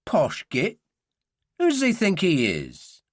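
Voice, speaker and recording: Audiobook role: man as sullen young Cockney male, jealous grumbler, lively pitch swings. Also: slow pace, no room sound, studio quality, full character voice. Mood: scornful.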